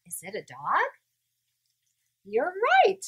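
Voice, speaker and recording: A woman storyteller reading a picture book aloud to young children in a playful, exaggerated manner, pausing to put questions to her listeners. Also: medium pitch, huge pitch swings, husky.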